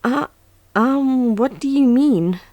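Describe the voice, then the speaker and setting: A woman's voice: high-pitched, expressive, slow pace, a little mellow, dry recording. A woman playing an earnest, anxious but composed young huntress in a fan audio drama. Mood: nervous, curious. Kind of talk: fan audio drama, first-person narration and dialogue. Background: background music.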